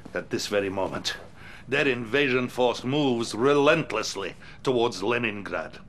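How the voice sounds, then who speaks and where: An actor playing a scheming Soviet premier who gives orders in a grave, deliberate way, sometimes dropping to a menacing hush. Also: male voice, on the low side, a little hiss or hum.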